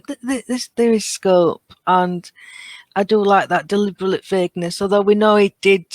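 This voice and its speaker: A woman's voice: mid-range voice, dry recording. A woman talking casually as a fan in a podcast discussion. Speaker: podcast guest or co-host.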